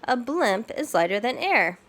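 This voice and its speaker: A welcoming air show guide from a children's storybook, read by a woman, with a very animated delivery. The guide explains things simply and clearly in short sentences. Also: a little high, a little slow, pitched above the performer's own voice, heavily acted. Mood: informative.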